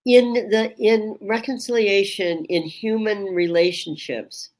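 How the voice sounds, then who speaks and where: A woman Reiki teacher hosting a webinar, with a strong voice that carries across a room. She talks in a slow, measured way and pauses often with ums. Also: mid-range voice, steady tone, a little mellow. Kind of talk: reflective webinar talk. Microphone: webinar microphone.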